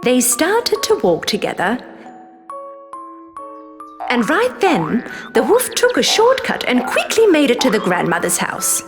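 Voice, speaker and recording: A woman's voice: high voice, wildly swooping pitch, measured pace. A woman narrating a children's fairy tale as a scripted story. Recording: long reverb, clear music behind. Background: music.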